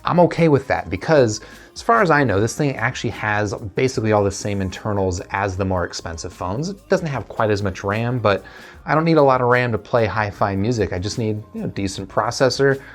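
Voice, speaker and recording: A man's voice: lowish voice, animated, fairly quick, soft background music. A man chatting casually through a tech review and unboxing, conversational and thinking aloud as he goes.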